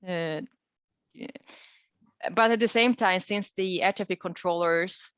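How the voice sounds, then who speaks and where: A woman researcher answering questions in an informal webinar, speaking thoughtfully and hesitantly with pauses mid-sentence. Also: lowish voice, a bit bright, clear, resonant tone, no room sound. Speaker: researcher presenting a study as webinar guest. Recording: dull, lo-fi online call audio.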